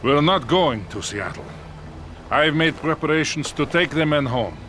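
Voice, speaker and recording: An actor playing a war-weary, protective Soviet commander in a video game cutscene, earnest and resolute. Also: male voice, a little high, animated, normal speed, a bit bright, soft background music. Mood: grave.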